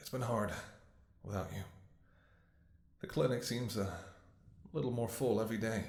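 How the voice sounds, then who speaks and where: A man's voice: on the low side, reverberant, close to an everyday voice. A man playing a weary, devoted doctor in an intimate ASMR audio roleplay, speaking tenderly and soothingly to an ailing lover. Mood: weary, confiding. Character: weary, devoted male doctor tending an infected lover in apocalypse. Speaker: voice actor.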